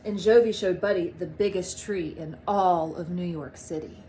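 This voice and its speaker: A woman reading a children's storybook aloud in an expressive, emphatic manner. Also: on the low side, moderate pace, slight background noise, poor audio. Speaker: storybook reader, teacher.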